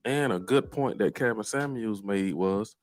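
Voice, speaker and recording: A man's voice: lowish voice, unhurried. A man giving casual commentary in a slangy, assured manner.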